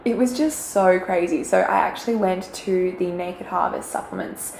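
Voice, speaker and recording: Croaky young woman chatting. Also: fairly low pitch, normal speed, a little mellow. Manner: chatty, giggly, lots of fillers. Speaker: podcast co-host. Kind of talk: casual chat. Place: quiet room, shared mic. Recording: echoey room, faint background noise.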